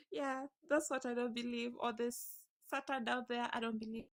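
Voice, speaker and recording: A woman's voice: on the high side, fairly even intonation, measured pace. Hesitant woman, Swahili first language. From Swahili-speaking East Africa. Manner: hesitant, searching for words. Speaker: caller. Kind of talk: casual debate call.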